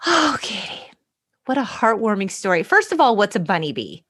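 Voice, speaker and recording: A woman's voice: mid-range voice, very animated, moderate pace, fairly bright tone, breathy edge. A middle-aged woman chatting casually as the co-host of a podcast. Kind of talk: casual chat.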